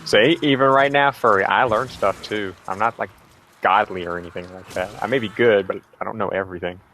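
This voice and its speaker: An American man talks over voice chat during a game session, chatty and given to repeating his words. His voice sits a little low. A faint hiss sits behind it. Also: fairly quick, sharp tone. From United States. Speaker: voice chat friend. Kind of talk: casual gaming chat.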